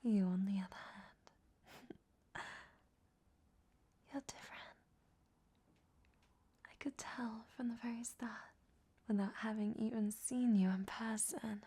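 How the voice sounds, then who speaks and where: Casting: actress as a seductive captive vampire woman for whispered ASMR roleplay, soft dark tone, unhurried, teasing delivery. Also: fairly high pitch, steady tone, heavily acted. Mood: admiring, smug. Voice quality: breathy whisper. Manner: slow, sultry, teasing. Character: flirtatious, teasing, captured female vampire.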